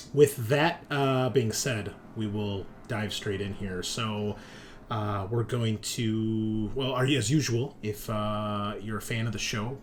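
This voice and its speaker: A man chatting easily and casually as he hosts and introduces a tabletop game show. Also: lowish voice. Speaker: podcast host and game master. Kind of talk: casual podcast intro chat. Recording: noticeable background noise.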